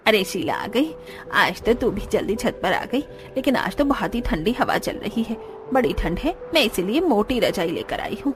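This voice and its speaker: A woman's voice: medium pitch, sing-song, moderate pace, background noise, natural voice. A woman voicing an older, chatty and bossy village mother-in-law in a cartoon, with an emphatic, familiar manner. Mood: chatty, eager. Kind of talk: Hindi cartoon story dialogue. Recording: music behind the voice.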